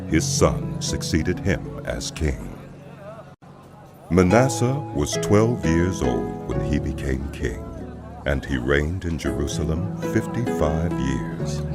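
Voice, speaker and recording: Extremely deep-voiced male scripture narrator. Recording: loud music behind the voice. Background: loud music.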